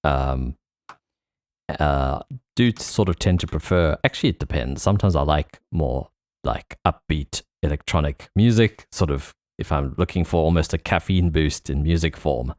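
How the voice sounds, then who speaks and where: A man hosts a casual podcast chat. His voice is extremely low, and it is recorded dry with no room sound. He talks in an informal, conversational way. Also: normal speed, a little mellow, no room sound. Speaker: podcast host.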